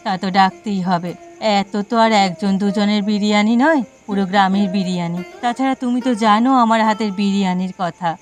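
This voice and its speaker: Woman acting self-assured rural housewife, cartoon, measured, chatty, music underneath. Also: mid-range voice, natural voice. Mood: friendly, pleased. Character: confident, homely village wife who cooks biryani.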